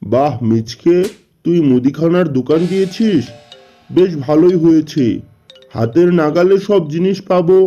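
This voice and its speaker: Man as a dark-toned gruff cartoon animal customer. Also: fairly high pitch, normal speed, lo-fi sound, soft background music, close to an everyday voice. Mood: gruff, approving. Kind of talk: Bengali cartoon voice acting.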